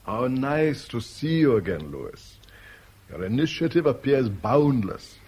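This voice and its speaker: An actor playing a suave, menacing crime boss with urbane, formal phrasing in a 1970s radio drama. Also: male voice, mid-range voice, very animated, measured pace, darkish tone, slightly rough. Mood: silky, courteous.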